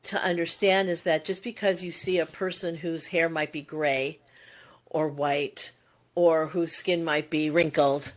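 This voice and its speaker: A woman in her sixties co-hosting a podcast, talking in a reflective, musing way with long winding sentences. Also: low voice. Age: 60s. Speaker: podcast co-host. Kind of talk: casual conversational chat.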